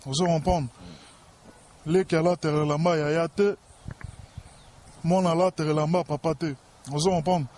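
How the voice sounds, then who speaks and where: Man, Congolese accent, fairly high pitch, boastful, self-important, interview talk, background noise. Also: fairly even intonation, measured pace, pauses often, a little mellow.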